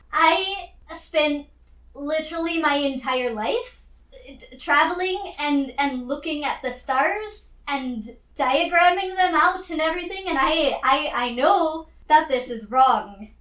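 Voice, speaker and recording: A woman playing a tabletop role-playing game, narrating casually in an animated, giggly, rambling way. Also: sits high, slow pace, roomy sound.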